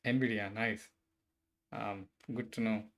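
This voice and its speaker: A man talks to viewers on a coding live stream. His voice sits low and stays flat. He speaks hesitantly, with lots of fillers and restarts, but stays friendly throughout. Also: takes it slowly, creaky. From Bangalore, India. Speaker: livestreaming software engineer. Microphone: desk mic set a bit far.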